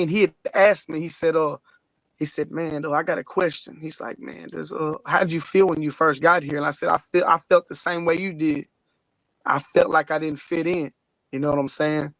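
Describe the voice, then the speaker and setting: A man with a fairly high voice shares personal experience in casual interview talk. His delivery is informal and halting, with small check-in tags. The recording is dry. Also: fairly even intonation, dry recording. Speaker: podcast guest.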